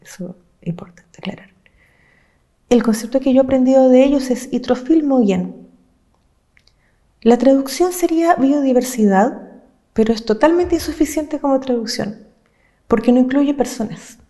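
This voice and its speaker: A woman from Chile, an activist speaking earnestly and with passion, laying out her points in measured, listed phrases. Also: high-pitched, animated, dark tone. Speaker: social movement activist. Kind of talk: reflective interview talk.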